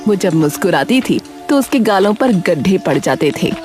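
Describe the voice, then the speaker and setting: A woman narrator reading a scripted dramatic story for an animated tale. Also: mid-range voice, normal speed. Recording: music behind the voice.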